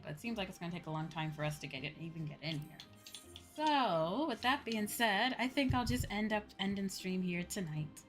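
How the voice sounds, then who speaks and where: A woman's voice: fairly low pitch, a bit bright. A woman livestreamer chatting casually and politely while gaming, musing to her audience. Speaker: livestreamer and host. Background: music.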